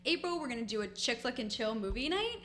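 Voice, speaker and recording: A woman's voice: on the high side, sing-song, quick pace, fairly bright tone. Young woman, casual podcast guest. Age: young adult. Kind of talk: casual chatty interview.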